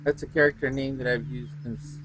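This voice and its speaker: A man's voice: medium pitch, steady tone, fairly quick, fairly bright tone, faint music behind. A man from the United States streaming a game, chatting casually and drifting off into digressive stories. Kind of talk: casual rambling chat while streaming a game.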